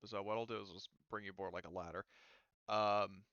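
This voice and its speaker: A man with a low, smooth voice runs a tabletop roleplaying game as game master. He speaks in a halting, self-muttering way. The recording is dry and close, with a slightly muffled top end. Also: steady tone, fairly bright tone, no room sound.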